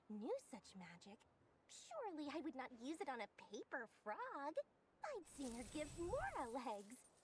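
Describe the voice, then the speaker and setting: High-pitched, wheedling girl merchant. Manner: wheedling, theatrical, drawn-out words. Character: small, greedy, theatrical girl merchant, wheedling salesperson. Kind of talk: video game voice acting.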